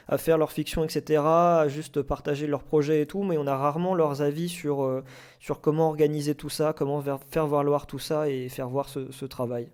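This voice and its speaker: A man hosting a casual live podcast debate, speaking in hesitant, halting phrases. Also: fairly high pitch, darkish tone, clear, resonant tone. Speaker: podcast host.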